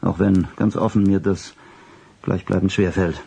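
A stiff, disapproving doctor in a radio drama. His voice is very low, gravelly and badly husky, and he talks formally and haughtily. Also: steady tone, unhurried, warm tone, heavily acted. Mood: reluctant, condescending. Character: stiff, guarded, disapproving male doctor of conservative views. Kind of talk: German radio drama acting.

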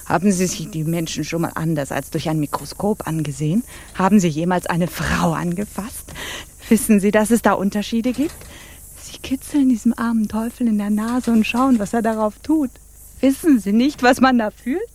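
A woman's voice: medium pitch, normal speed, darkish tone, natural voice. An actress playing a bitter, passionate female pirate captain in a radio drama, accusing and imperious. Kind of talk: intense radio drama acting.

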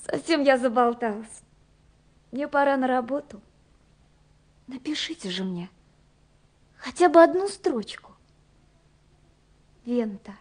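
An actress playing a tender, dreamy young woman in love, reading her letters in a wistful, intimate way for a radio drama. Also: high-pitched. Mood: shy. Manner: wistful, intimate, softly wondering.

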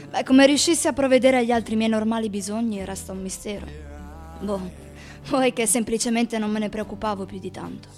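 Radio drama: woman as restless, sardonic male writer, highish pitch, deliberate theatrical phrasing, light music underneath. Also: darkish tone, lightly acted. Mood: wry, reflective. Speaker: stage actress performing a literary monologue. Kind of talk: theatrical radio reading of poetry and memoir.

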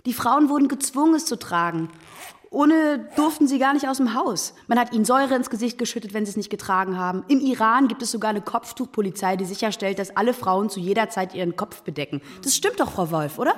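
Blunt, high-voiced German schoolgirl played by a woman. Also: expressive, quick pace, lightly acted. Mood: defiant. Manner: blunt, combative, argumentative. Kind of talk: German radio drama acting.

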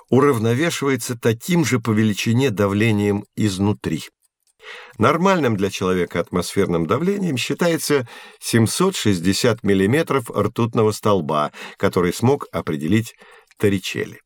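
Guy playing patient physics prof teaching kids, clear explainer style, audio drama, dry close sound. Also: mid-range voice, animated, normal speed, no echo, close to an everyday voice. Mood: instructive. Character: patient male physics professor demonstrating experiments to children. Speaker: actor in an educational audiobook.

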